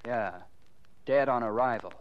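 An actor playing a brisk, matter-of-fact ambulance doctor in 1940s radio drama style. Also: male voice, mid-range voice, close to an everyday voice. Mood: grave. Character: brisk male ambulance doctor rattled by a death. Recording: dull, noisy 1940s radio recording.

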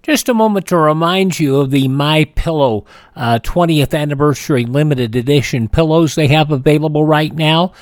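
A man hosting a classic radio hour, reading an ad and introducing shows in a relaxed way, with frequent "uh" pauses. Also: a little high, dry recording, clean studio sound. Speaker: radio host. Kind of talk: casual host reading ads and intros.

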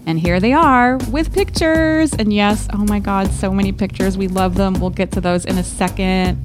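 A woman's voice: mid-range voice, normal speed, music in the background. Woman hosting a casual book podcast. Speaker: podcast co-host. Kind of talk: casual book club chat, reading listener letters.

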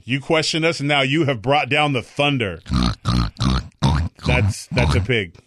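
A man co-hosting a talk show, chatting casually, joking and rambling, with sudden loud excited outbursts. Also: medium pitch, unhurried, no echo. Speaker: co-host.

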